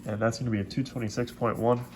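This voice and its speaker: A man with a stuffed-up, congested voice hosting a coin roll hunting video, giving steady, methodical play-by-play from his desk. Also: mid-range voice, fast, noisy background. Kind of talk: casual hands-on commentary. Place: at a desk indoors.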